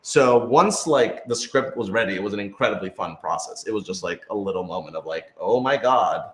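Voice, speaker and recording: A man's voice: lowish voice, expressive, a little fast. A man speaking as a radio drama creator, answering listener questions in a casual, conversational way with a hedging style. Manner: conversational, hedging with lots of "like" and "sort of". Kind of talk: casual live Q&A chat. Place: indoor room with other people. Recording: roomy, echoey room sound.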